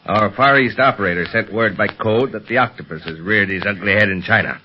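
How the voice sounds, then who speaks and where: An American actor playing an earnest, commanding secret police chief in a 1930s radio serial, formal and deliberate in delivery. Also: male voice, fairly low pitch, slight background noise, lightly acted. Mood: earnest, urgent. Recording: dull, band-limited 1930s radio recording.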